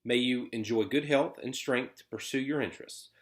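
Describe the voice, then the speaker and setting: A man's voice: mid-range voice, moderate pace. A man in his thirties, the video editor, reading a letter aloud in a matter-of-fact way.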